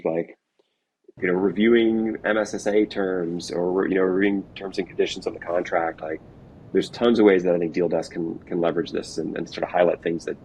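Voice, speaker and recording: Man, deep voice, casual explainer, informal executive interviewee, slight background noise. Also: a little mellow. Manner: informal, hedging with "kind of" and "like". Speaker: revenue operations executive being interviewed. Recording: noisy with low background rumble.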